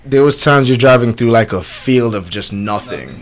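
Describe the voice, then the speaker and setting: A man's voice: mid-range voice, normal speed. A man in his twenties from Jamaica, answering casually in a radio interview as a rapper, telling stories with lots of slang. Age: 20s. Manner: slangy, animated storytelling with frequent "you know what I'm saying". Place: small radio studio. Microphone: studio microphone.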